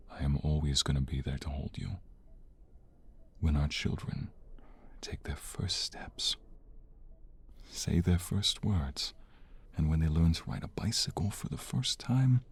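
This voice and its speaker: A man playing a tender, devoted mobster husband in an ASMR romance roleplay, speaking in a hushed, earnest, husky, gravelly voice. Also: extremely low pitch, exaggerated voice. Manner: earnest, sentimental, hushed, unhurried.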